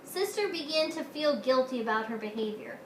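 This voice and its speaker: Woman narrator, children's read-aloud, high bright voice, echoey room, faint noise. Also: expressive, a little fast. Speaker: storybook reader. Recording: roomy echo with faint noise.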